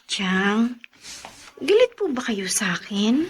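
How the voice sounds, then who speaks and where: A Filipino woman playing a meek, gentle young wife in a radio drama. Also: fairly high pitch, big pitch swings, takes it slowly, slightly rough, full character voice. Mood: meek, anxious. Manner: gentle, conciliatory.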